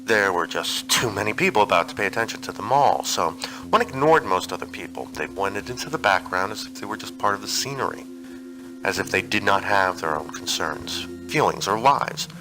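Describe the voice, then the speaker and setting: A man's voice: on the low side, expressive, a little fast, background noise. A man reading a piece of fiction aloud as a narrator, in a scripted audiobook style.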